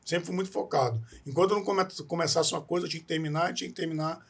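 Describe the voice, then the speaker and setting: Husky higher-voiced man. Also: very fast. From Espírito Santo, Brazil. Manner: earnest, rambling, tags many phrases with a questioning aside and restarts often. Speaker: podcast guest. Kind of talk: personal life story in an interview.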